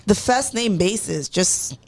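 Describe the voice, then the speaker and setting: Relaxed young woman from Nigeria. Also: medium pitch, slow. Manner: relaxed storyteller, sprinkles "like". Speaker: guest. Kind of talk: casual chat.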